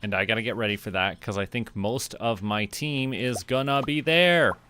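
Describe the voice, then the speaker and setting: A man from Canada giving casual, relaxed gameplay commentary on a livestream, playfully muttering to himself and repeating phrases. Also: medium pitch, moderate pace, a little hiss or hum. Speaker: game livestreamer. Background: game music.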